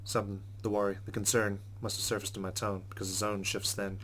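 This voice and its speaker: Quick male voice, fairly low, let's play host, reading visual novel text, faint background noise. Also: flat delivery, a bit bright. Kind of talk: reading game narration aloud, casual commentary.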